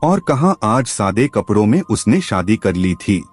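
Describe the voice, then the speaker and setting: A man's voice: fairly low pitch, very animated, measured pace, a little mellow, slightly rough. A man narrating a scripted story in a dramatic storytelling style, with music behind him.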